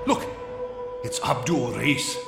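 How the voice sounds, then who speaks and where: An actor playing a terrified but resolute adult escape artist in a radio drama, breathless, halting and exclamatory. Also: male voice, mid-range voice, vocal fry. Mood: horrified awe. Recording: echoey chamber under loud dramatic music.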